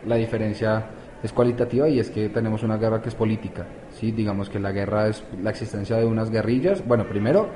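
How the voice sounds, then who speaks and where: A man's voice: fairly low pitch, fast talker, warm tone, poor audio. A man from Colombia, answering interview questions as a youth activist, hesitant with many fillers and restarts.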